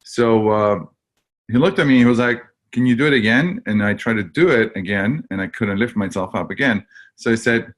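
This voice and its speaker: A man's voice: lowish voice, normal speed. A man from Argentina, a webinar guest telling his own story in a candid, rambling way with many fillers.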